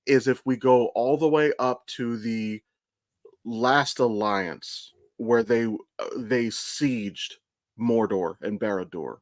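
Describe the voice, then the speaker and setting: A man's voice: medium pitch, slow pace, no room sound. Man podcasting in a dry, close sound. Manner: sweary, explanatory fan talk. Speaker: podcast co-host. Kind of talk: casual chat, opinionated pop-culture commentary.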